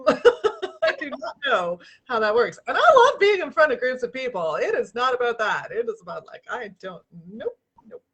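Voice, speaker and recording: A woman hosting a casual video-call interview, laughing often and restarting her sentences, with a relatively low voice. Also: very animated, moderate pace, fairly bright tone, husky. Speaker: host.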